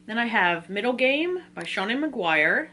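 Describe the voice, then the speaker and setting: A woman's voice: a little high, very animated, a little slow, bright, forceful tone, a little hiss or hum. A woman talking casually in an informal, self-deprecating way, like a book vlogger running through a reading list.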